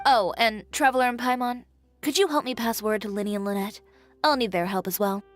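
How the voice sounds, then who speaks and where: An actress playing a proud, blunt and determined young fashion designer in a video game. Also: female voice, a little high, steady tone, a little fast, no echo, faint music behind, lightly acted. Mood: businesslike.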